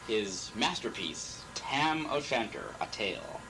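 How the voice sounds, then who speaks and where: A man's voice: lowish voice, big pitch swings, slow. A man giving a theatrical, declamatory dramatic reading of poetry. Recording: clearly noisy recording.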